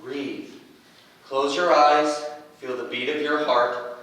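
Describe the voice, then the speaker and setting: Echoey, distant stage role: actor as hopeful young hero, slightly high voice, stammering, earnest and encouraging. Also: male voice, steady tone, takes it slowly, fairly bright tone. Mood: rallying. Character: nervy but hopeful young hero with fire powers. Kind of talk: staged reading stage acting. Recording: echoey room, distant mic.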